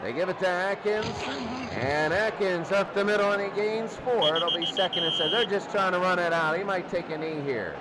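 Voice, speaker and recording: A man's voice: high voice, moderate pace. A man doing informal football play-by-play, thinking aloud as he calls the game. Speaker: play-by-play announcer. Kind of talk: American football radio-style commentary. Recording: loud crowd and noise behind. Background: stadium crowd roar.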